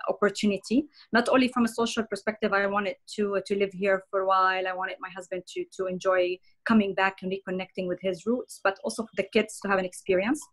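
A middle-aged woman from Jordan, a podcast guest talking through her career in a relaxed interview, pausing often with many fillers and restarts. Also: medium pitch. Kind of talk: conversational interview.

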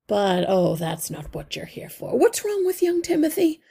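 A woman's voice: high voice, very animated, normal speed, gravelly, dark tone, husky, voice pushed up, heavily acted. A woman voicing a very old, wise male mouse healer with a kindly, authoritative manner for a children's storybook. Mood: learned. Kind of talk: children's storybook read-aloud.

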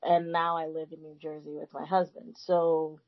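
A woman's voice: low voice, normal speed, muffled recording. A woman in her thirties from the United States with a Nigerian family, a guest in a casual conversation, telling stories emphatically. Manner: animated storyteller, emphatic.

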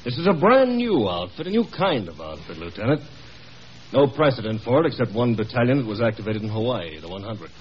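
An actor playing a stern, curt army colonel with commanding authority in a 1950s radio drama. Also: male voice, medium pitch, sing-song, fairly quick, slight background noise, lightly acted. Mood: brisk, businesslike. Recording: muffled old 1950s radio broadcast.

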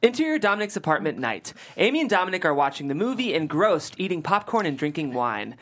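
A woman's voice: on the low side, very animated, fast talker. A woman reading stage directions from a comedy script as scripted narration. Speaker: stage-direction reader at a script table read.

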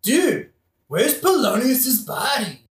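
A woman's voice: a little high, big pitch swings, slow. A woman voicing a dim, baffled bro henchman with dopey, slang-heavy talk in a satirical audio play. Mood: urgent, confused. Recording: echoey room.

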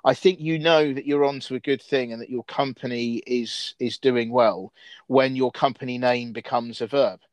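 A man's voice: medium pitch, steady tone, moderate pace, no room sound. A man hosting a casual discussion, rambling with frequent restarts and fillers. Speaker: host.

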